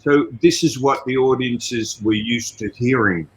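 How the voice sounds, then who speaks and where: An older man with an Australian accent, a guest on a podcast interview, telling stories in an animated, expansive way. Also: medium pitch, measured pace. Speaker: guest, martial arts trainer.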